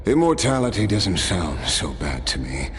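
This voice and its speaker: An actor playing an old immortal warrior in a video game, weary and wistful, with a reflective, wry manner. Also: male voice, deep voice, very animated, moderate pace, faint music behind. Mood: wry, amused. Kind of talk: dramatic video game voice acting, storytelling.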